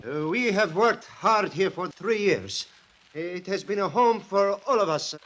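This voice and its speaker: A man acting a humble, loyal plantation worker, solemn and halting, in 1940s American radio drama style. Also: high-pitched, expressive, a bit bright. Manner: halting, solemn, simple phrasing.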